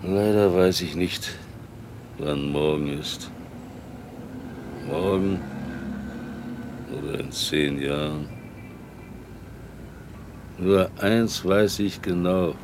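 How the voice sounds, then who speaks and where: A man's voice: low voice, extremely slow, pauses often, a little mellow, slight background noise. A man playing a bitter, sly old street sweeper in a radio play, grumbling to himself in a brooding way. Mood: bitter, defiant. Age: older.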